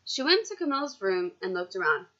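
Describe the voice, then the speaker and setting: A woman reading a storybook aloud and adding casual teaching commentary, expressive and informal. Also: medium pitch, brisk, highs cut off. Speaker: student teacher reading a picture book aloud.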